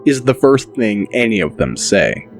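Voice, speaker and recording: A man narrating a dramatic audiobook in a deliberate, measured manner. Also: on the low side, sing-song, soft background music.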